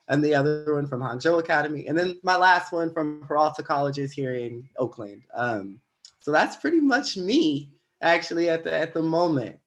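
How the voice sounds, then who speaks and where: Man, first language Louisiana Creole. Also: a little high. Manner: hesitant, with many fillers. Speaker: panelist. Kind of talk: casual self-introduction.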